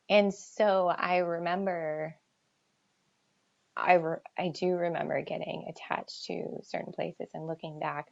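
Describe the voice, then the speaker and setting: An American woman chatting casually as an author on a livestream Q&A, thinking aloud in a halting, reflective way and often trailing off. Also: sits low, gravelly. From New Hampshire seacoast, United States. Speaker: author answering audience questions. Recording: dull lo-fi livestream audio.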